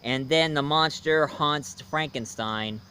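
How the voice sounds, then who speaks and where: A man's voice: a little high, slow pace, pushed and bright, slight background noise. A man giving a casual, rambling film review, speaking emphatically and opinionatedly straight to his viewers. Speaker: reviewer, host.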